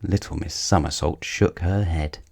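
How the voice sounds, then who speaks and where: A man reading a children's picture book aloud as a storyteller, with deliberate, dramatic emphasis. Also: low voice, fairly even intonation, gravelly, darkish tone. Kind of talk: expressive scripted children's story narration.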